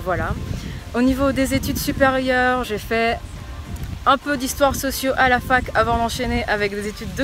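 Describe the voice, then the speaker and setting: A young woman from Portugal with a light northern Portuguese accent, a fitness coach telling her own story in a chatty vlog, with frequent fillers. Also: a little high.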